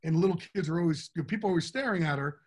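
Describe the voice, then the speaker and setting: A man's voice: fairly high pitch, very fast, breathy edge. A middle-aged man with a big voice, talking from the heart as an interview guest. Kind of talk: casual interview talk.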